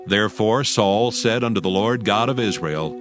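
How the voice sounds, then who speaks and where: A man's voice: fairly low pitch, normal speed. A man narrating dramatized scripture in a solemn, formal storytelling style. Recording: music behind the voice.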